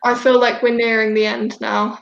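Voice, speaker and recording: A woman's voice: fairly high pitch, flat delivery, moderate pace, vocal fry, roomy sound, dull audio. A young woman hosting a casual video call chat, talking at length with many fillers and restarts. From Denmark. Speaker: host.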